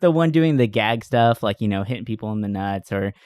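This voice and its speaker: A man's voice: lowish voice, animated, fairly quick, a little mellow, clear, resonant tone, no room sound. Casual, enthusiastic man chatting, dry close sound. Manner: enthusiastic, informal, swears casually. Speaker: podcast co-host. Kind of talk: casual chat about movies.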